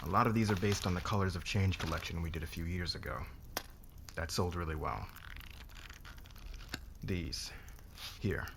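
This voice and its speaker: A man playing a haughty, domineering businessman boss in an intimate ASMR roleplay, speaking in a measured, condescending way. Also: low-pitched, gravelly, background noise. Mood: businesslike.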